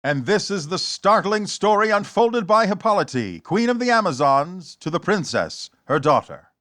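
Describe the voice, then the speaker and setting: A man's voice: high-pitched, very animated, moderate pace, a bit bright, no echo, studio quality. A man narrating a superhero radio serial in a grand, theatrical 1940s storytelling style. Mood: grand, dramatic.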